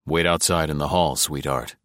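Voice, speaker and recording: A male narrator playing a tough, cool-headed American agent who speaks low and deliberately. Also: fairly even intonation, a bit bright, dry recording, clean studio sound.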